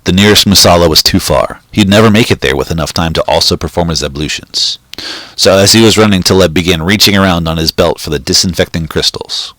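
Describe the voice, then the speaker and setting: A man reading a short story aloud in a steady, measured way, with the odd small slip. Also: sits low, dry recording. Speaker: reader.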